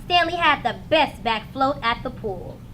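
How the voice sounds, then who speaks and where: A woman reading a children's storybook aloud like a teacher, with expressive, dramatic emphasis. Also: high-pitched, unhurried, background noise. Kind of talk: animated children's storybook narration.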